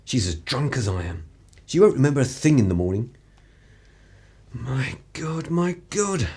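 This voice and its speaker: A man plays an upper-class English gentleman spy in a radio drama. His slightly husky voice swings widely in pitch, haughty and flustered, with old-fashioned dramatic phrasing. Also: very animated, measured pace, full character voice. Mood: panicked muttering. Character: flustered, condescending upper-class male spy, drunk and desperate. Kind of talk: radio play acting.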